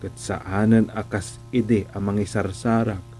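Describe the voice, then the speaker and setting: A man with an Ilocano accent acting a curious, excitable villager in a comedic radio drama, with exclamations and drawn-out interjections. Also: lowish voice, slight background noise, natural voice. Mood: musing.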